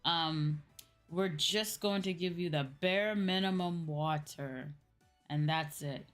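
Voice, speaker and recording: Female streamer, low register, informal and explanatory, talking to chat over faint music. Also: slow. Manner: informal, explanatory, often repeats points and checks with "right?". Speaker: streamer, VTuber host. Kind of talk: casual livestream chat answering viewers.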